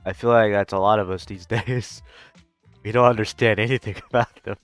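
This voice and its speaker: A man's voice: fairly low pitch, fairly even intonation, a little fast, no room sound, faint music behind. A man giving casual, rambling reaction commentary on a video game as a YouTuber, informal and sometimes swearing.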